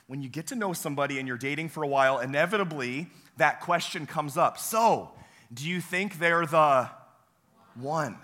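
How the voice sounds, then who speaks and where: Man, young, hoarse, campus pastor sermon, folksy storytelling, somewhat high pitch, bright tense tone. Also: moderate pace. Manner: folksy, self-deprecating storytelling with many uh fillers. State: hoarse, voice weaker than usual. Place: church sanctuary.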